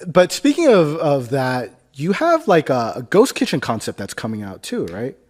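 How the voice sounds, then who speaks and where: A man's voice: mid-range voice, huge pitch swings, moderate pace, a little mellow. A man hosting a casual interview, laid-back and joking, full of filler words. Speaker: podcast host.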